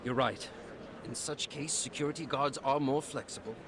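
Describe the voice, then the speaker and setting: An actor playing a haughty, short-tempered security company boss in a video game, commanding and indignant. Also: male voice, medium pitch, steady tone, normal speed, a crowd in the background, close to an everyday voice. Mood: smug, controlled.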